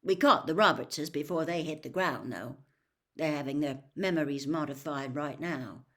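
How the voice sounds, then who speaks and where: A woman voicing a brisk, matter-of-fact young man in a storybook reading. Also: low voice, fairly bright tone.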